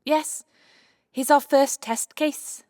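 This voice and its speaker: Actress as brisk clinical woman scientist, firm, matter-of-fact, fairly high pitch, dry studio audiobook drama. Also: steady tone, darkish tone, no room sound. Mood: clinical, analytical. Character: Santa's brisk, clinical scientist wife, immortal, coolly determined.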